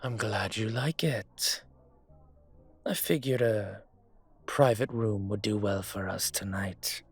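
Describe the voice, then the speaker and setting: Man playing a slow, husky dragon mafia boss. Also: lowish voice. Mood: smooth, inviting. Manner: unhurried, courtly, teasing, intimate. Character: older gentlemanly dragon mafia boss, suave, wealthy, courting. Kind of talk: romantic roleplay audio drama acting. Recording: music behind the voice.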